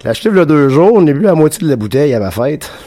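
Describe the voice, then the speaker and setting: Hoarse Québécois man with a cold. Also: on the high side, very animated, rapid-fire, dark tone. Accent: Québécois French. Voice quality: raspy. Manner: joking, loose. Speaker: host. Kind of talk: casual radio chat.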